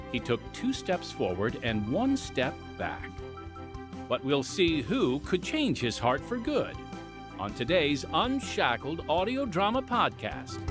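Animated, higher-pitched male podcast host. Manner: polished presenter delivery. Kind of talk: scripted narration.

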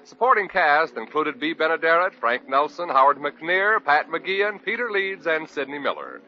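A man's voice: fairly high pitch, big pitch swings, moderate pace. A man announcing a scripted show in 1940s radio style. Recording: dull old radio recording, loud music. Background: music.

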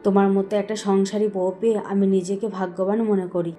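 Woman playing a humble cartoon father, medium-pitched for a woman. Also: level tone, quick pace, mellow, faint music behind, natural voice. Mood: affectionate. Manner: earnest, self-blaming. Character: humble, loving poor shopkeeper, husband and father. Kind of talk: Bengali cartoon voice acting.

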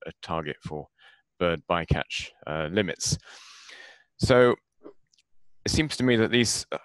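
A man's voice: low-pitched, a bit bright, no echo. A man examining a doctoral thesis over a video call, polite and thoughtful, asking long rambling questions with many fillers. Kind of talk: academic questioning.

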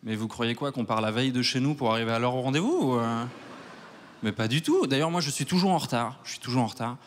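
A man in his thirties from Switzerland doing a stand-up set on a large theater stage with a handheld mic. He talks in a wry, self-mocking way and works up to loud mock outrage. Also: mid-range voice, fast talker. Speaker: stand-up comedian. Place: large theater stage before a live audience.

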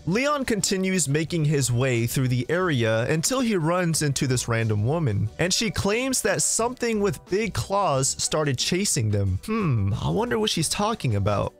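A man giving scripted video game walkthrough commentary in a playful way, with exclamations and jokey asides. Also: fairly high pitch.

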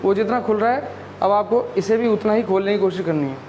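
A man in his thirties, a physiotherapist, calmly explaining exercises step by step in a clinic room, on a lapel mic. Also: high-pitched, brisk, slight background noise. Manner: calm, instructive, explains step by step.

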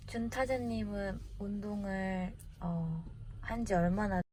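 A woman's voice: mid-range voice, slow pace, a little mellow, low-quality recording. A woman asking questions politely in an informal interview. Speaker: interviewer reading viewer questions. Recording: faint background ambient noise.

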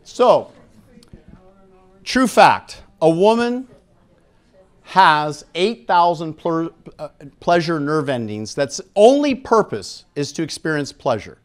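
A middle-aged American man lecturing to a live audience through a handheld microphone in a frank, emphatic way, pausing for effect and putting questions to the room. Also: on the high side, very animated. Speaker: speaker at an expo seminar.

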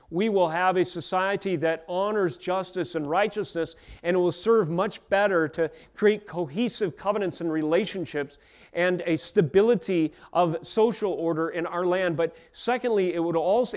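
An American man in his thirties preaching an expository sermon in an earnest, deliberate manner with formal wording. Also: fairly high pitch, darkish tone. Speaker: pastor. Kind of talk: expository sermon with scripture reading. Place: church stage at a wooden pulpit, indoors. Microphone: handheld microphone held at chest.

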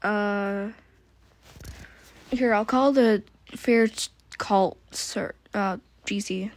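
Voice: medium pitch, fairly even intonation, extremely slow. A young streamer chatting casually while playing a block-building game, rambling with restarts. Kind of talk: casual gaming chat.

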